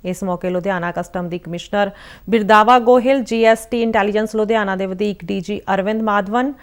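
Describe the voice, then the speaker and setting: Woman reading a formal news bulletin. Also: mid-range voice. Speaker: news reader.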